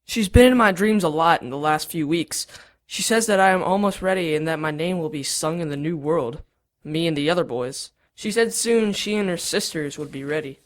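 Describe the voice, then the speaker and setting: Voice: mid-range voice, moderate pace, lightly acted. A performer playing an eager, cheeky eleven-year-old boy in an audio drama, talking matter-of-factly.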